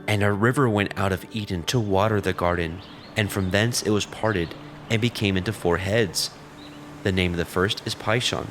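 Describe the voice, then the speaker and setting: Deep-voiced male scripture narrator. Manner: steady, reverent reading. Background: background music.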